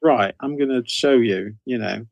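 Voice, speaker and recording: A man's voice: medium pitch, a little slow, vocal fry, a little mellow, no echo, highs cut off. Male, 60s, British, interview guest telling career stories conversationally, circling back on phrases, dry recording. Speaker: interview guest, horror author.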